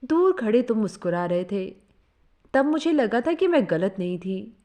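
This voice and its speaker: A woman narrating a scripted first-person story in an expressive, sentimental storytelling manner. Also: mid-range voice, normal speed.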